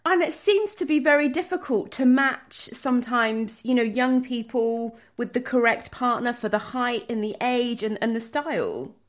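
A woman hosting an interview, asking curious, chatty questions. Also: fairly high pitch. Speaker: interviewer, host. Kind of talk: conversational interview.

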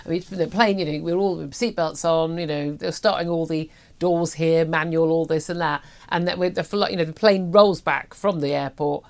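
A woman's voice: low-pitched, steady tone, moderate pace, hardly any pauses. A British woman in her fifties talking through the news as a talk show host, chatty, emphatic and opinionated. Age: 50s.

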